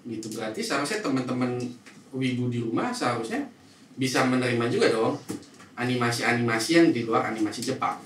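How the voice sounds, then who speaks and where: A man, a podcast host chatting in a playful, animated way. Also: medium pitch, fast talker. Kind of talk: casual banter and discussion. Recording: echoey room, distant mic, faint noise.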